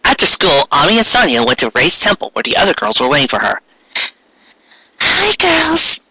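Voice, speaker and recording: A man's voice: a little high, big pitch swings, fast talker, a crowd in the background, low-quality recording. A man narrating a story as a fan fiction reader, in a comic, dramatic reading style.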